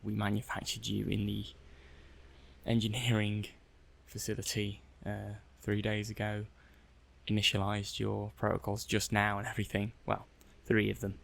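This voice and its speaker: A man playing an affable, slightly flustered robot-testing technician in a soft-spoken ASMR roleplay, hesitant and musing aloud. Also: lowish voice, monotone, normal speed, gravelly. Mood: chatty, slightly flustered.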